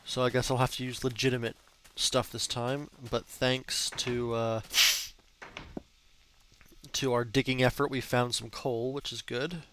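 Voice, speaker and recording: Young man, early twenties, stuffy-nosed, rambling gameplay chat with swearing and fillers, mid pitch, faint background hiss. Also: little pitch movement, a little slow, a bit bright. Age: young adult. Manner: chatty, cursing, rambling with fillers. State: congested, stuffy nose. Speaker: gaming channel host. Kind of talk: casual gameplay commentary.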